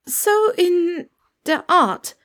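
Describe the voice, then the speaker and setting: A woman's voice: extremely high pitch, drawn-out words, fairly bright tone, pitched above the performer's own voice, full character voice. A woman voicing a reserved, weary young woman who is polite, hesitant and evasive, in an audiobook story.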